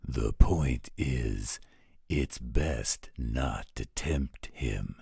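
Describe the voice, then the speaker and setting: A man playing a gruff, grumbling, overprotective werewolf guardian with a gravelly, husky voice in a roleplay audio drama. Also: rumbling low, warm tone, no echo, clean studio sound, exaggerated voice. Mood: protective, grumbling. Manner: slow, grumbling, disapproving.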